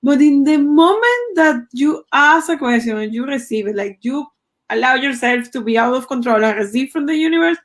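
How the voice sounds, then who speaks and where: A woman's voice: high-pitched, animated. A woman hosting a podcast, interviewing her guest with eager enthusiasm and frequent exclamations. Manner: enthusiastic, quick, with frequent wows. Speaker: podcast host. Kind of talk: casual interview.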